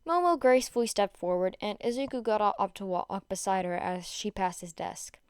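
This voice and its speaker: A woman's voice: mid-range voice, expressive, moderate pace, smooth, no echo, studio quality. A woman reading fan fiction aloud as an amateur audiobook narrator. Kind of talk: audiobook-style story narration.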